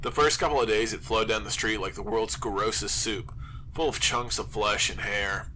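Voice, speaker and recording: Male actor as anxious male survivor, low pitch, bright edgy tone, halting, rambling, self-deprecating, confessional radio drama monologue. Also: a little hiss or hum, poor audio. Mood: weary, resigned. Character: anxious, overthinking male zombie apocalypse survivor broadcasting alone. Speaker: fictional radio broadcaster.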